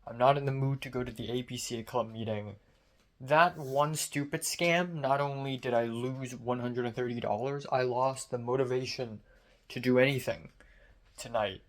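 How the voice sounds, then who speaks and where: A young man from Hong Kong with a sore, strained throat, talking into the camera late at night. He rants sarcastically in a mid-pitched voice, swearing often and mocking himself. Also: moderate pace. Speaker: vlogger. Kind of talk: late-night diary vlog.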